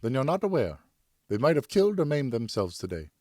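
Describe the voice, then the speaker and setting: Man voicing a high-pitched, distraught French wife, scolding. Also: very animated, brisk, a little mellow, no room sound, clean studio sound. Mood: accusing, shaken. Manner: indignant, pleading, emphatic. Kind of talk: audiobook character acting.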